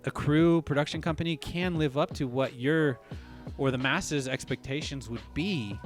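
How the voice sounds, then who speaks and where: A man's voice: fairly high pitch, normal speed, soft background music. A man co-hosting a casual podcast discussion, thinking aloud with frequent restarts and asides like "you know what I mean". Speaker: podcast co-host.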